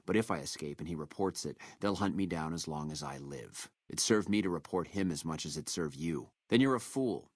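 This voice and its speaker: An actor playing a proud, menacing captured military officer in a video game, with a measured, contemptuous delivery. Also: male voice, sits low, expressive, vocal fry, no room sound, studio quality, heavily acted. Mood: defiant, contemptuous. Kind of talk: video game character dialogue.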